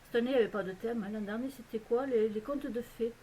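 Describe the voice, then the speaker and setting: A woman from France with a French accent talks to her camera in a loose, meandering vlog. She often pauses on fillers and starts her sentences over. Her voice sits a little high, with a faint hiss underneath. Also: mellow, rough recording. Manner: hesitant, rambling, many fillers and restarts. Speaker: vlogger. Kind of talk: casual chat to camera. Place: home in a Belgian village.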